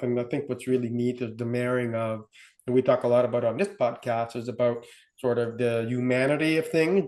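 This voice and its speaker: A man's voice: medium pitch, darkish tone. A man hosting a podcast, introducing and questioning his guest in a loose style with many fillers. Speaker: podcast host. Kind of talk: podcast interview.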